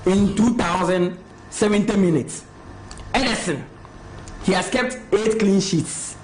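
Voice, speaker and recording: A man reading goalkeeper statistics on a studio panel, halting over the numbers. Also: high voice, slight background noise, rough recording. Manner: reads lists of figures, halting and slow. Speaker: football pundit. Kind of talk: reading out stats in studio debate. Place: television studio.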